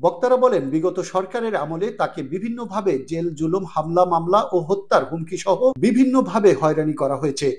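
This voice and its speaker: A man's voice: sits high, lively intonation, fairly quick, few pauses, a little mellow. A man with a Bangladeshi accent narrating a scripted TV news report as a correspondent.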